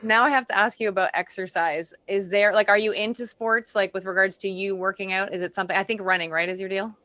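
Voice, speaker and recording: A woman's voice: medium pitch, fairly even intonation, fast talker, sharp tone. Female interviewer, mid-range voice, casual entertainment-show hosting.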